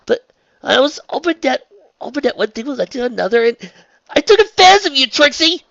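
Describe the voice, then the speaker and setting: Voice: medium pitch, lively intonation, unhurried, no echo, muffled recording, voice pushed up. A narrator voicing a guilt-ridden young female pegasus in a storybook, who blurts and stammers. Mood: tearful, guilty.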